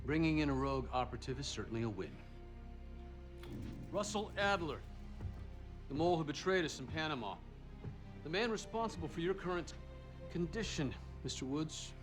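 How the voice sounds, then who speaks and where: Commanding, high-pitched irritated male intelligence boss played by an actor. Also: animated, normal speed, long pauses, over music, natural voice. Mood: sardonic, probing. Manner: commanding, sarcastic, cuts others off. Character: stern, irritated older intelligence boss interrogating subordinates. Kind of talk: cinematic military thriller game acting.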